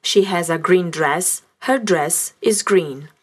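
Brief: woman lesson narrator, lowish voice, slow careful reading. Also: slightly rough. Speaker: language teacher narrator. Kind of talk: scripted language lesson narration.

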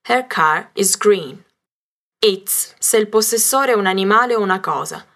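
A woman's voice: medium pitch, animated, fairly bright tone. A woman narrating a language lesson, carefully spelling out words. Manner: slow, carefully spelled-out reading.

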